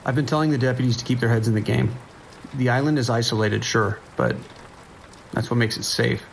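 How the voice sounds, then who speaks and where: A man playing a calm, wry sheriff's dispatcher with a dry, reassuring manner in an audio drama. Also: medium pitch, a little fast, vocal fry, a little hiss or hum, lightly acted, rough recording. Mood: wry, relaxed. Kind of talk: naturalistic audio drama acting.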